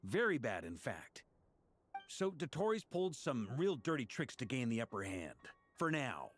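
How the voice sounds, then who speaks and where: A man's voice: medium pitch, sing-song, normal speed, soft background music, natural voice. An actor playing a confident, easygoing male knight commander in a video game, giving informal, rousing encouragement. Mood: rallying, resolute. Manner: informal, rousing pep-talk delivery.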